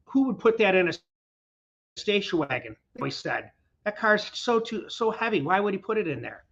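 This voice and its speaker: A man's voice: high voice, sing-song, moderate pace, a bit bright, muffled recording. A man hosting a casual interview from his garage, chatty and rambling, his voice recovering from being sick. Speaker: host. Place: his garage, windy outdoors.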